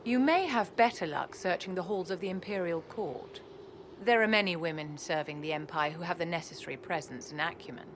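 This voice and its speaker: A woman voicing a composed, shrewd political adviser in a video game, speaking formally and with gentle condescension. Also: lowish voice, brisk, a little hiss or hum, close to an everyday voice. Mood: smooth counsel. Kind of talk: video game dialogue acting.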